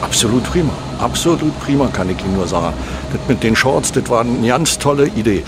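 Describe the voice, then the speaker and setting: A man's voice: mid-range voice, expressive, brisk, natural voice. An actor playing a chatty, effusively grateful ordinary man who rambles and repeats himself in a radio drama. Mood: gushing. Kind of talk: radio drama vox pop. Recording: noisy outdoor urban traffic.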